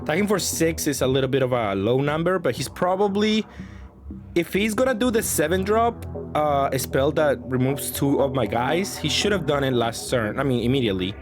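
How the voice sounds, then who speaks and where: A man's voice: on the high side, expressive, normal speed, over music. A man giving casual, unscripted card game commentary as a host, thinking aloud with some hesitation.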